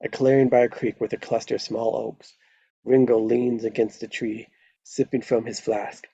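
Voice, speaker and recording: Guy reading script action lines at a table read, creaky dark voice, kinda muffled close mic. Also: mid-range voice, little pitch movement, normal speed, no room sound. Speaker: narrator reading stage directions. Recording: dull lo-fi close microphone.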